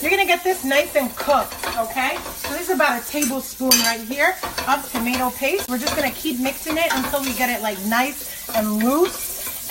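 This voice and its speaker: A woman hosting a cooking show, chatting and joking with viewers as she cooks in a kitchen. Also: sits high. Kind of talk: casual cooking demonstration. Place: kitchen by the stove. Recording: frying sizzle noise in a kitchen.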